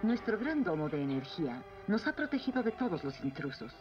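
A woman's voice: lowish voice, very animated, brisk. A woman playing a proud, cold cartoon queen, regal and formal, with a Latin American Spanish accent. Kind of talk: dubbed animated series acting. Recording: dull dub audio with music.

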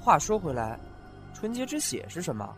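A man's voice: high voice, very animated, normal speed, fairly bright tone, soft background music. A man playing a curious, impatient young heir in an ancient-era audio drama, who questions his elders and grumbles. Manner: questioning, restless, indignant. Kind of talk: fan audio drama acting.

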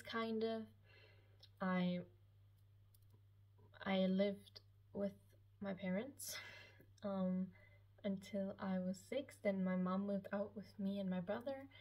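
Late-teen woman, German speaker, gravelly fry, slightly husky, hesitant casual vlogging. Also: mid-range voice, mellow. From Austria. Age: 17, late teens. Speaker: YouTube vlogger. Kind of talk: casual chat to camera, personal Q&A. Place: small lived-in room at home, indoors.

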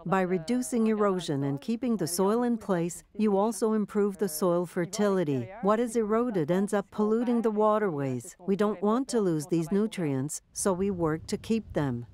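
A woman speaking a scripted translated voice-over in a calm, explanatory way. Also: mid-range voice, normal speed, hardly any pauses. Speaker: voice-over interpreter.

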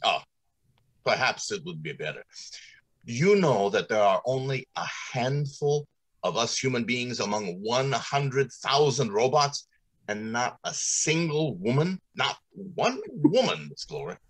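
Male, middle-aged, acting assured radio-play industrialist, emphatic and explanatory, husky voice with wide swings. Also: mid-range voice, very animated, a little slow. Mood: eager, proud. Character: confident, talkative robot factory manager. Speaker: actor. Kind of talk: old-time radio drama acting. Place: small indoor room on a video call.

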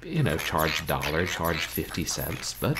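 A man hosts a casual livestream in a very low voice that swings around in lively fashion. He is wry and self-deprecating and likes wordy turns of phrase. The recording is a home stream with clear background noise. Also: unhurried. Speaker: livestream host, video game streamer. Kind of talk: casual livestream chat to viewers. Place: small bedroom, indoors. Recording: noisy home webcam stream.

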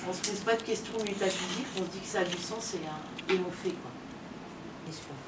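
Middle-aged woman, association representative presenting informally; slightly low voice, heard off in an echoey room. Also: a little fast. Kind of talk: informal spoken presentation at a partnership signing. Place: small indoor meeting room with a group standing behind. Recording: echoey, noisy room, distant microphone.

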